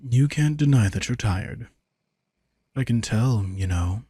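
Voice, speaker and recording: A man playing a playful, smug vampire in an intimate roleplay audio drama, casual, sardonic and teasing, with a husky, gravelly voice. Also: mid-range voice, expressive, a little slow, mellow, no room sound, studio quality. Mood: gentle, coaxing. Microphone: close, dry studio microphone.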